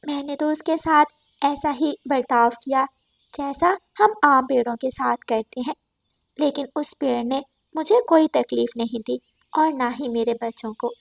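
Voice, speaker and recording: A woman's voice: high-pitched, unhurried, vocal fry, no echo. A woman voicing a kind, caring mother bird in a cartoon story, speaking politely and earnestly.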